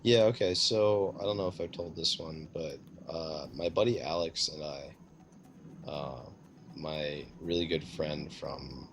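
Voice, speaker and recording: A man with a low voice tells a casual anecdote as a streamer would in conversation. His delivery rambles and hesitates, with pauses to find words. Clear background noise sits behind him. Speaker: streamer telling personal stories.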